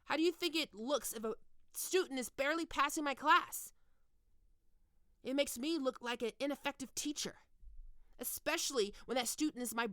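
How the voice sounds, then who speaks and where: A woman's voice: sits high, normal speed, sharp tone, dry recording, studio quality. A woman playing a stern, taunting goth college professor in an ASMR roleplay, with a scolding, condescending manner. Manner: scolding, condescending, dropping into breathy murmurs.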